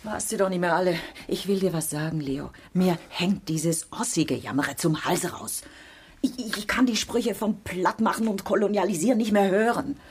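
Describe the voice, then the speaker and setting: An actress playing an embittered, outspoken West German politician in a radio crime drama, with a husky voice and sardonic, emphatic delivery. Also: female voice, lowish voice, moderate pace, slight background noise, natural voice. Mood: scornful, angry. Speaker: lead actress and first-person narrator.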